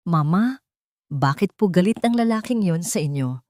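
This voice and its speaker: A woman's voice: lowish voice, expressive, moderate pace, a little mellow, no echo, clean studio sound, natural voice. A woman storyteller voicing an earnest, protective little boy, serious in manner. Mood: worried.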